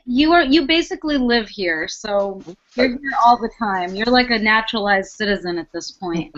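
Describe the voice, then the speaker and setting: A woman's voice: medium pitch, moderate pace, fairly bright tone. A woman hosting a casual video call, friendly and upbeat, with many "like"s and "you know"s. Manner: friendly, upbeat, filler-heavy. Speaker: event host. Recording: muffled video call audio.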